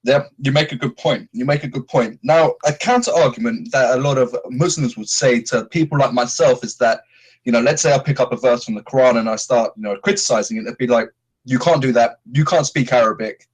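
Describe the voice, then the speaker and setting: A man's voice: mid-range voice, fairly quick, husky, poor audio. A man hosting a podcast, asking questions in an easygoing, agreeable way. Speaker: podcast host. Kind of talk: podcast interview.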